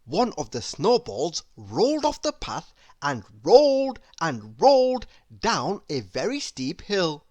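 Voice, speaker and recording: A woman narrates a children's storybook in a slightly low voice with hugely varied intonation. She reads deliberately and expressively, as if to young listeners. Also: wildly swooping pitch, darkish tone, no echo. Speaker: storybook reader. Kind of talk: read-aloud narration for children.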